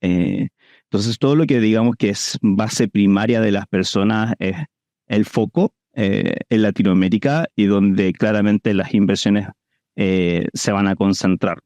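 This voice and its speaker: A man's voice: fairly low pitch, a little slow, a little mellow, dry recording. A man with a Chilean accent giving conversational answers as an investor guest in an interview.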